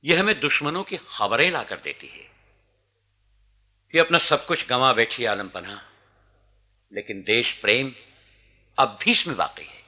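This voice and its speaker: A loyal court minister of a historical radio drama, with a very bright, forceful mid-pitched voice. He is deferential yet declamatory, solemn and earnest, his pitch moving widely. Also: animated, a little slow, pauses often, full character voice. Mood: earnest, pleading. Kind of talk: Hindi historical radio play acting.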